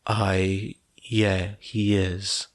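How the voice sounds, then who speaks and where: A man narrates as a reserved teenage brother in an audiobook story, earnest and troubled. He speaks slowly and lowly, with halting, understated phrasing and a dry sound. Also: level tone, dry recording. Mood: guarded. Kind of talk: audiobook character dialogue.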